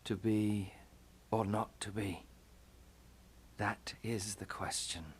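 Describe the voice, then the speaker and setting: A man playing a tormented, scornful young prince in classical Shakespearean style, with theatrical, deliberate delivery. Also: lowish voice, fairly even intonation, slight background noise. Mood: brooding, hushed. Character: brooding, tormented young prince who turns scornful and furious. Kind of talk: classical Shakespearean stage acting.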